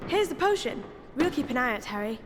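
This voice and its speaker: A woman's voice: sits high, sing-song, brisk. An actress playing a bossy, conspiratorial teenage schoolgirl in a video game, purposeful in manner. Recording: noisy ambience behind.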